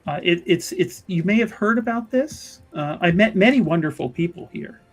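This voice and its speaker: A man voicing a polite, effusive woman who serves as a church official, speaking in a halting, genteel way. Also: high voice, expressive, soft background music, pitched above the performer's own voice.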